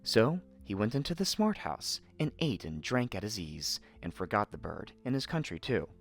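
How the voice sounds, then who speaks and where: A man narrating a scripted fairy tale in a theatrical, expressive manner. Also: on the low side, normal speed, gravelly. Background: faint music.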